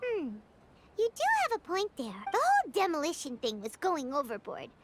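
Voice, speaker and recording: A woman voicing a tiny, chirpy, opinionated childlike companion in a video game, squeaky, excitable and blunt. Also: normal speed, heavy background noise. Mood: pondering, indignant.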